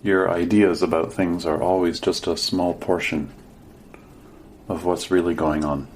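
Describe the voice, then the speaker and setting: A man leading a guided meditation as a teacher, giving gentle, sparse instructions with long pauses. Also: sits low, little pitch movement, slight background noise.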